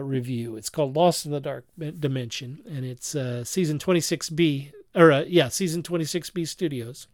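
A man hosting a podcast in a casual review chat, with a halting, rambling delivery in which he often corrects himself. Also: on the high side, no room sound, studio quality. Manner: chuckles while talking. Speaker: podcast host.

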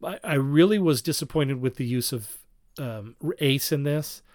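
A man talking casually and critically as a podcast panelist, talkative, with halting, repeated phrases. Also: medium pitch, animated, darkish tone, no echo, studio quality. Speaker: podcast panelist reviewing fan productions.

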